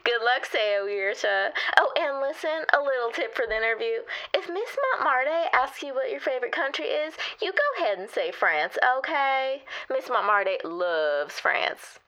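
Chatty small-talk receptionist, familiar and folksy, played by a woman; bright tone. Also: high voice, expressive, normal speed, few pauses, full character voice, rough recording. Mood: friendly. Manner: familiar, calls people honey and girl. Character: chatty friendly female receptionist, nosy and folksy. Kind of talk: comedy sketch acting.

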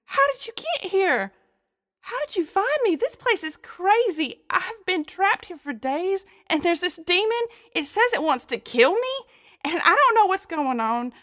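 Storybook young girl, acted by a man: scared, desperate, very high voice with lively swings. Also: unhurried, full character voice. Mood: scared, confused. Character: frightened, confused, desperate young girl pleading with her aunt.

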